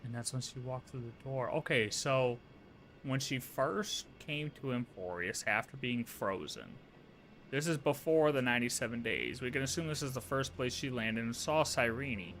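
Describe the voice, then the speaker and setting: A man livestreaming a game, talking casually and thinking out loud in slangy, sometimes profane speech. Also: medium pitch, lively intonation, normal speed, slight background noise. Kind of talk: casual game playthrough commentary.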